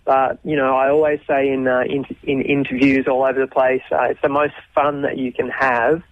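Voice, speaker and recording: Casual 40-something Australian guest on a radio interview, reflective, lots of restarts, phone-line sound. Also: male voice, medium pitch, little pitch movement, moderate pace. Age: 40s. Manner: thoughtful, many ums and restarts. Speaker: interview guest, youth minister.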